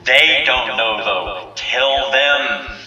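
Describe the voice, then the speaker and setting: A man playing a stern, sanctimonious council leader in an audio drama, solemn, measured and commanding. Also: medium pitch, highs cut off. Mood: firm, coaxing. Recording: roomy, distant voice under music.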